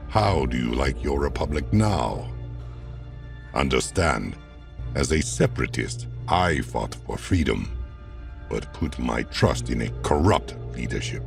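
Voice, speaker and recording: A man playing a weary, reproachful separatist general, speaking in a measured, condescending way in a science fiction drama. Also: very deep voice, gravelly, full character voice.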